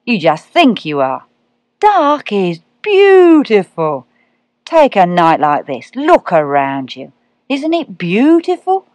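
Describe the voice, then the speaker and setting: A woman's voice: fairly low pitch, very animated, no room sound. A woman doing Orion, a drawling kindly cat. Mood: dreamy. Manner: drawling, gentle and unhurried. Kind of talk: storybook reading.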